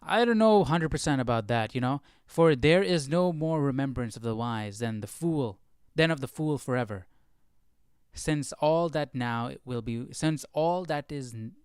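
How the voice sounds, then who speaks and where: A man's voice: mid-range voice, lively intonation, unhurried, darkish tone, smooth, no echo, studio quality. A man hosting a livestream study, reading and commenting aloud in a casual, conversational way, often checking in with the listener. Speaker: host of a livestream Bible study.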